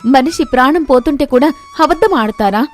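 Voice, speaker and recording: A woman's voice: sits high, lively intonation, normal speed, faint music behind. A woman voicing a caring village wife in a moral story, earnest and pleading, speaking up firmly. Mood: pleading, reproachful. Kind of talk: animated moral-story voice acting.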